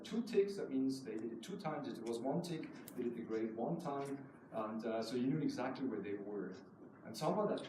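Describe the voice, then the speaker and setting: Very echoey, distant man presenting poetry. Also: medium pitch. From Switzerland. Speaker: guest poet presenting his work. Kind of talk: live poetry reading with informal remarks. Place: live event in a reverberant room. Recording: very echoey hall, far mic, noisy.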